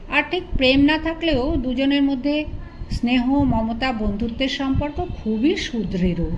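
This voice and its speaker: A woman with a high-pitched voice reads a literary story aloud as an audiobook narrator. The delivery is scripted narration. The recording is dull and lo-fi, with faint noise behind the voice.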